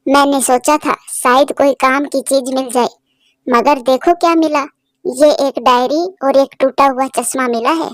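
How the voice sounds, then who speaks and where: A woman voicing a bossy, quick-tempered young bird wife in a cartoon, with a nagging, insistent manner. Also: high voice, fairly even intonation, no room sound, studio quality. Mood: chatty.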